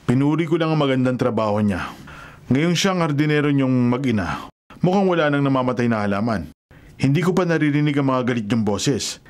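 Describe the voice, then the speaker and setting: An actor plays a wealthy Filipino father in a radio drama. His middle-aged voice sits at a medium pitch. He speaks as a genial boss, measured, approving and authoritative. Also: animated, a little mellow, natural voice. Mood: genial, curious.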